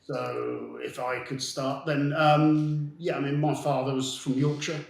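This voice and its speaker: A man whose first language is Dutch, talking through his background as a podcast guest. He speaks in a flat, gravelly voice, steadily and with many ums. His mic picks up a noticeably roomy echo. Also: fairly high pitch. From Netherlands and southern England. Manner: measured, with frequent ums. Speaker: guest, business founder. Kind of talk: casual interview storytelling.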